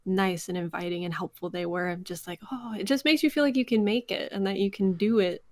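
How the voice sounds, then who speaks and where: An American woman with some vocal fry, talking casually as an interview guest and telling stories by acting out conversations. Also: medium pitch, brisk, no echo. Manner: animated storyteller, re-enacts conversations. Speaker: podcast guest, voice actress. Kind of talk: casual interview chat, anecdotes.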